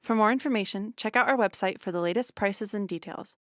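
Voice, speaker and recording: A woman's voice: mid-range voice, brisk, no echo, clean studio sound. A woman reading a scripted promotional voice-over in a polished, upbeat way. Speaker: promo narrator. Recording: voice-over over loud music.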